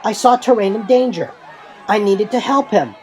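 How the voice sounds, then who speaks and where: A man's voice: high voice, normal speed, a faint crowd, a little hiss or hum, voice pushed up, close to an everyday voice. A man voicing a brave, earnest young male fantasy hero with an urgent manner. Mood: pleading.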